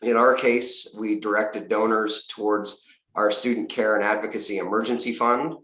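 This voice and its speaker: A man with a slightly hoarse, lowish voice speaks earnestly and upbeat, dropping frequent "uh" fillers as he thanks donors at a virtual event. His video call audio carries a noticeable roomy echo. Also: fairly even intonation, moderate pace, pushed and bright. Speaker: university fundraising executive. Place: home or office on a video call. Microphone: video call mic.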